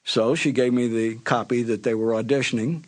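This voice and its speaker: An older man looking back on old radio days in an unhurried, chatty way. His voice sits at an ordinary middle pitch, and now and then he stops to correct a word. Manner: relaxed, conversational storytelling with small self-corrections. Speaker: former radio announcer. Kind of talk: reminiscing anecdote.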